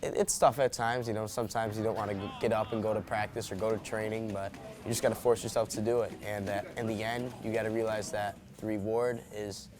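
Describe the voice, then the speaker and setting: A man's voice: fairly low pitch, little pitch movement, fairly quick, noisy background. A young man, an athlete, talking casually as he answers in an interview. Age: young adult.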